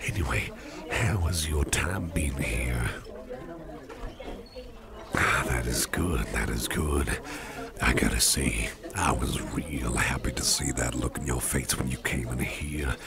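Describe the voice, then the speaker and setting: A man's voice: over loud music, heavily acted. A man playing a big, muscular, jovial boss with a hearty appetite in an ASMR dinner-date roleplay, speaking in a folksy, soothing, reassuring way. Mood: pleased, genial. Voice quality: very deep, gravelly. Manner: slow, soothing, chuckling, folksy, repeats phrases reassuringly. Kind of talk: ASMR roleplay, personal attention. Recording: restaurant crowd murmur behind the voice.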